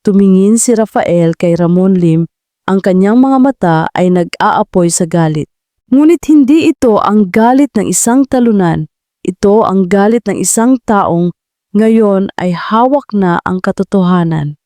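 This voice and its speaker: A woman's voice: medium pitch, a little slow, dark tone, no room sound, studio quality. A woman storyteller reading a scripted audio drama in a dramatic narrating style.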